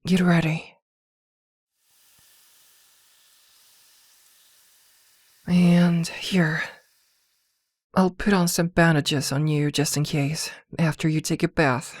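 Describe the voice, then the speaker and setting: A woman's voice: on the low side, a little mellow, dry recording, clean studio sound. A woman playing a possessive, protective witch girlfriend in an ASMR roleplay, scolding and fussing tenderly by turns. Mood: caring, firm. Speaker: voice actor in an audio roleplay.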